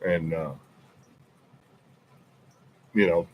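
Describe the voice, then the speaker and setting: A man from Birmingham with a deep South Alabama accent, chatting casually as a podcast co-host and repeating his points for emphasis. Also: fairly even intonation, extremely slow, highs cut off, slight background noise. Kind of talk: casual chat.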